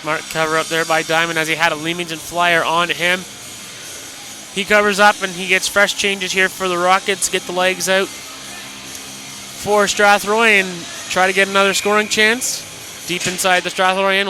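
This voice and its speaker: A man's voice: sits high, steady tone, moderate pace, over music, low-quality recording. A man giving live colour commentary on ice hockey, walking through each play step by step. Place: ice rink, live broadcast position.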